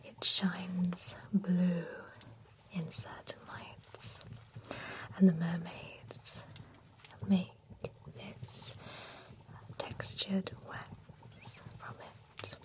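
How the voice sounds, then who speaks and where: A woman's voice: on the low side, little pitch movement, very slow, long pauses. A woman whispering in a chatty, playful way as a pampering spa stylist in an ASMR roleplay. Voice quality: mostly unvoiced whisper.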